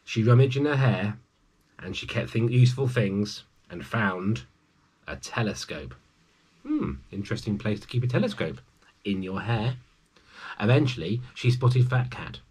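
A man's voice: lowish voice, very animated, a little slow, a little mellow, breathy edge, low-quality recording. A man in his forties reads a children's story aloud, adding chatty asides to his young listeners. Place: small room at home with bookshelves.

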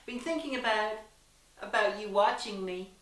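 A young woman giving a deliberate, theatrical dramatised reading of fan fiction, with emphatic character dialogue. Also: mid-range voice. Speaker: reader and host in costume. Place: small plain room indoors. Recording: roomy echo, distant camera microphone.

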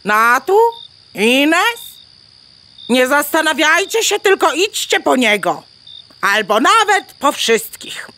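A woman's voice: high voice, very animated, slow pace, pushed and bright, slight background noise, full character voice. A woman playing a bossy, self-important hen diplomat in a children's audio play, lecturing pompously with clucks between words.

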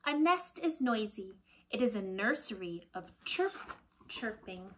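A woman in her thirties reading aloud to children like a teacher, deliberate and expressive, with a breathy voice that often drops to a hush. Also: mid-range voice, a little hiss or hum. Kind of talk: children's picture-book read-aloud. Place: small indoor room at home with shelves.

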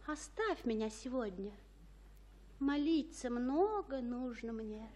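A woman's voice: a little high, a little mellow. An actress playing a desperate, passionate young noble girl in love, with fervent dramatic delivery in a radio tragedy. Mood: restrained, pleading. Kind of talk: Russian radio play acting of classical tragedy.

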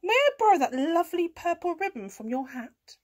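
A friendly storybook she-cat played by a woman reader, high and very lively in pitch. She asks politely in a coaxing sing-song, with a coy streak. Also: fairly quick. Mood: bright, coaxing.

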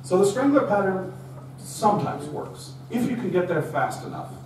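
A man's voice: high voice, sing-song, moderate pace. A middle-aged man giving a conversational, storytelling technical talk to a meetup audience, recorded from a distance. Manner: conversational storytelling, acts out quoted dialogue. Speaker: conference speaker. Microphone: room recording from far camera. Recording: roomy, distant, faint hum.

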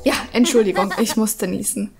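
A woman's voice: medium pitch, fairly even intonation, normal speed, over loud music. A woman with a cold chatting casually through her gameplay, rambling with many fillers at a desk microphone. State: has a cold. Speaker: let's play host.

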